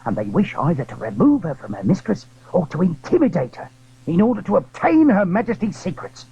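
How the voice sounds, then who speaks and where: Man acting an anxious, husky confiding landlord. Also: high-pitched, sing-song, a little fast, mellow, dull audio, exaggerated voice. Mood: earnest, flattering. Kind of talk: dramatized classic adventure audio drama.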